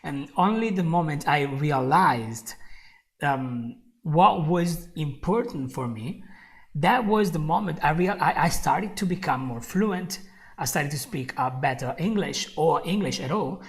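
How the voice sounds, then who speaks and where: A man's voice: a little high, expressive, a little slow. A young man with an Italian accent, a native Italian speaker, teaching a live online English lesson in an encouraging way, repeating phrases and speaking straight to his viewers.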